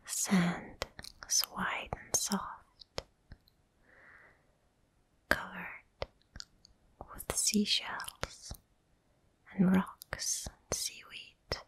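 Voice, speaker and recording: A woman softly whispering a guided ASMR visualization for relaxation and sleep, soothing and unhurried, with long pauses. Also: mid-range voice, level tone.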